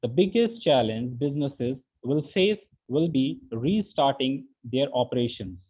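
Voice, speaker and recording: A man's voice: medium pitch, expressive, measured pace, slightly rough. A man hosting a webinar, reading a formal welcome speech with an occasional filler. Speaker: webinar host. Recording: tinny phone-like line.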